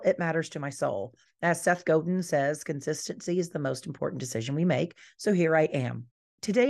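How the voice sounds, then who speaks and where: Hoarse woman coach, deep and low, earnest and encouraging, solo podcast talk. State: hoarse, recovering from losing her voice. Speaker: life coach and podcast host.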